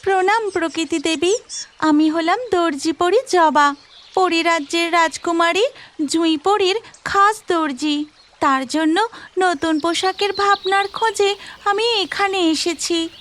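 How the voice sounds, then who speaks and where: A woman's voice: very high voice, unhurried, a bit bright. A woman voicing a cheerful young tailor fairy, polite and full of exclaiming wonder, in a children's cartoon. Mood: polite, eager.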